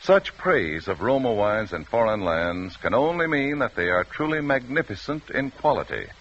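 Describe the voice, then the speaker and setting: A man's voice: fairly low pitch, lively intonation, normal speed, fairly bright tone. An American man reading a 1940s radio advertisement as announcer, with polished, emphatic salesmanship. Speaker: commercial announcer. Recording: dull vintage radio recording.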